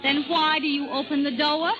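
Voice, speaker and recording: An actress playing a jealous, sharp-tongued young tavern wench, petulant and scolding in 1930s radio drama style. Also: female voice, sits high, flat delivery, normal speed, noisy background, natural voice. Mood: sulky, wary. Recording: muffled 1930s radio, loud crowd behind.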